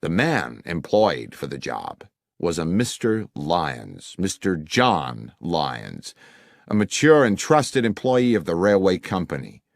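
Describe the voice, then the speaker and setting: Man playing middle-aged gentleman client, formal, verbose, self-amused, low swooping voice, audiobook dialogue. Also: big pitch swings, slow pace, gravelly, no room sound, studio quality, exaggerated voice. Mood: earnest, formal. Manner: formal, long-winded, jovially self-amused. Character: anxious middle-aged businessman client, verbose, pun-loving.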